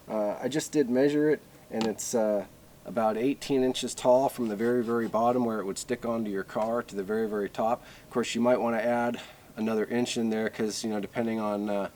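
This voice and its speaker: Man, lowish pitch, casual product reviewer, unscripted, halting conversational delivery, filler-heavy, light background noise. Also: darkish tone.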